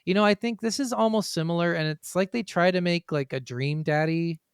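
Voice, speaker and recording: A man's voice: high-pitched, brisk, clear, resonant tone, no room sound, studio quality. A man hosting a podcast, giving blunt, profane opinions in a casual gaming review chat. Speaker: podcast host.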